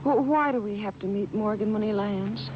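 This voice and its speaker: An actress playing a frightened, fretful woman with halting, hesitant speech in a 1950s radio mystery. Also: mid-range voice, close to an everyday voice. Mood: fearful. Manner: halting, breathless hesitations and repeats. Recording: noisy old radio broadcast taped on cassette.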